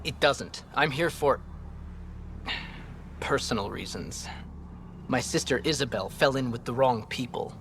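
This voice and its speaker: An actor playing an earnest, troubled space-station security officer in a video game. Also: male voice, mid-range voice, lively intonation, measured pace, creaky, pauses often, background noise. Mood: earnest, bitter.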